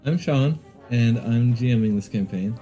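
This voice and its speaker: A man's voice: medium pitch, moderate pace, dark tone. A man introducing himself as game master in a podcast cast introduction. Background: music.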